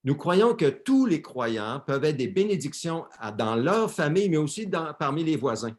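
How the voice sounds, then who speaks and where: A man's voice: fairly high pitch, very animated, normal speed. A man interpreting a sermon live for a church, often repeating and correcting words as he translates.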